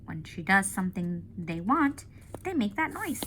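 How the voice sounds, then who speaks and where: A woman's voice: mid-range voice, very animated, moderate pace, fairly bright tone, slight background noise. A woman voicing a worldly male dog who explains things in a matter-of-fact, disapproving way.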